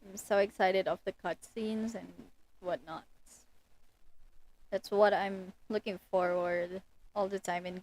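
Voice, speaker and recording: A woman's voice: mid-range voice, darkish tone, rough recording. Young lady streaming a game, chill casual commentary, takes her time talking.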